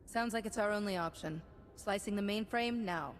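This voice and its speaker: A woman acting a resolute, mid-pitched game heroine. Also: moderate pace, slight background noise, close to an everyday voice. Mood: businesslike. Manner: direct, assured. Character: resolute, wry Republic heroine taking charge. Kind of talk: video game cutscene acting.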